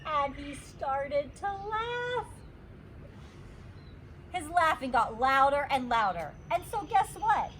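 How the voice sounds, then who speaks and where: A woman reads a children's story aloud like a teacher. Her fairly high voice is very animated and deliberate. There is some noise in the background. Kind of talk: expressive children's storybook narration.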